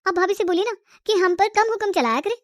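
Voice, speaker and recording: Woman as a high-voiced, defiant young sister. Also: lively intonation, fast talker, dry recording, clean studio sound. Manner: argumentative, protesting.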